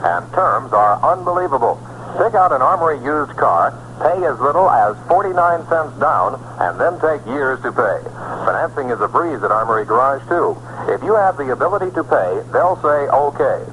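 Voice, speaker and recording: Male American commercial announcer, 1960s radio pitchman, animated and punchy, old recording with light noise. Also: normal speed, rough recording. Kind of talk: scripted 1960s radio ad read.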